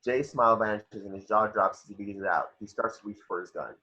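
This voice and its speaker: Husky low-voiced man reading stage directions. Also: quick pace, sharp tone. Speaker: narrator of action descriptions. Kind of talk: scripted narration in amateur table read. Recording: dull lo-fi home recording.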